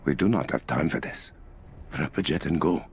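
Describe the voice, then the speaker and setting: A man's voice: very deep voice, normal speed, gravelly. Noble, commanding African warrior king. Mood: grave, measured. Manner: formal, commanding. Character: noble, resolute young warrior king, protective older brother. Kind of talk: video game cutscene acting.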